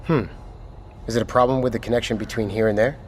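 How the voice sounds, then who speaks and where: A man's voice: mid-range voice, steady tone, fast talker, natural voice. A man playing a curt, impatient military commander. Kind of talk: audio drama acting. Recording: clear background noise.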